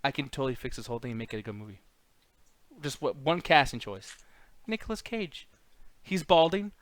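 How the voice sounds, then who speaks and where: A man hosting a casual chat show, arguing about films in an animated, profane, slangy way. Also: medium pitch, fairly quick. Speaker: podcast host.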